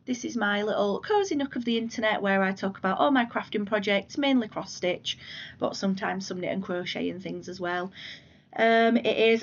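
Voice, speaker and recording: A woman from the UK with a hoarse voice from a cold, chatting casually about her crafts at home in a rambling, self-deprecating way. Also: mid-range voice, highs cut off. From United Kingdom. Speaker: flosstube vlogger. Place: living room at home.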